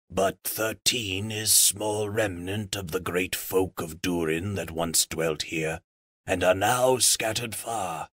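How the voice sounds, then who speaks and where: A man voicing a decrepit, wise old raven chief in a storybook, croaking out solemn, formal counsel. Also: deep voice, a bit bright, dry recording, studio quality, heavily acted. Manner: slow, formal, archaic counsel.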